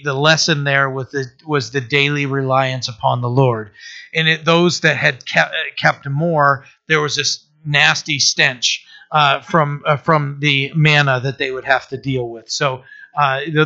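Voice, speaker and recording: Scratchy-voiced pastor, bright and halting. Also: male voice, fairly high pitch, fairly even intonation. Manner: halting, many uh fillers and restarts. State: throat-clearing. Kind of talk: casual expository Bible teaching. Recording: dull lo-fi sound.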